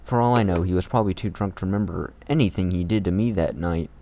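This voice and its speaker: A man's voice: sits low, quick pace, dark tone. A man reading fan fiction as an amateur, stumbling over words and breaking into casual commentary.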